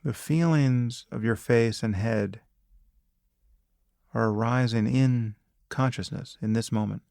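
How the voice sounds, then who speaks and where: A man leading a guided meditation as a teacher, speaking calmly and deliberately in short phrases with long pauses. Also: medium pitch, vocal fry, no room sound, studio quality. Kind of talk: guided meditation, spoken instruction.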